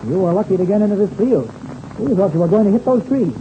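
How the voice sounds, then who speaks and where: Earnest young American aviator hero, high voice, keen and alert, noisy vintage radio drama. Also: male voice, expressive, fast. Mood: eager, alert. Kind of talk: 1930s radio serial acting. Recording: muffled, noisy old-time radio recording.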